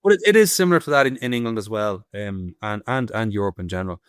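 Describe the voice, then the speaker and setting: A man's voice: on the low side, lively intonation, fast talker, a bit bright, clean tone, dry recording, studio quality. An Irish man in his thirties, a guest stone carver who talks in a rambling way, searching for words. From Ireland. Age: 30s. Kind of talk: casual interview chat. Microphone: remote call.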